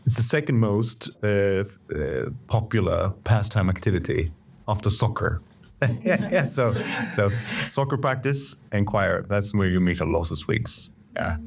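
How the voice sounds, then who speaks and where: Low-voiced man explaining language learning. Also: gravelly. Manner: measured, explanatory, with restarts. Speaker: Swedish language teacher. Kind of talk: podcast conversation.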